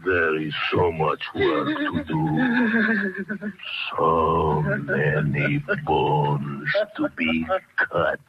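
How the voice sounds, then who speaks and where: An actor playing a gloating, deranged old Frenchman with a French accent in a radio horror play, drawn-out and theatrical. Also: rumbling low, huge pitch swings, heavily acted. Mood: menacing. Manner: theatrical, drawn-out, chuckling, coaxing with "eh?". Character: mad, gloating old Frenchman who cleans bones. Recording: dull, noisy vintage radio recording.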